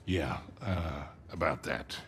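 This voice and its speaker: A man's voice: a bass voice, takes it slowly, a bit bright, slight background noise, exaggerated voice. A man playing a roguish older American crook in a video game, with a dry, laid-back, folksy manner. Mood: businesslike, wary. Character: wry, roguish older male cigar-smoking crook. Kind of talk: cinematic video game acting.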